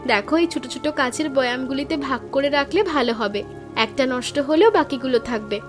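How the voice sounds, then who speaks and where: A woman playing a sweet, sentimental young village niece in an animated story, earnest and girlish. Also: high-pitched, brisk, music in the background, natural voice. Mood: eager, helpful.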